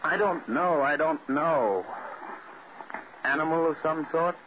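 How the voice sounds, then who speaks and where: An actor playing a cold, arrogant, domineering scientist husband in a theatrical old-time radio horror style. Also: male voice, a little high, takes it slowly. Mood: uneasy, searching. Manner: contemptuous, clipped, theatrical. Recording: dull, noisy vintage radio recording.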